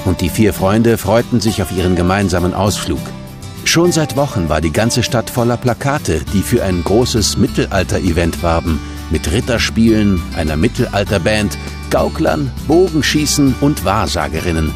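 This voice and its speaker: A man's voice: on the low side, lively intonation, moderate pace, over music. A man narrating a scripted children's story as the storyteller of an audio drama.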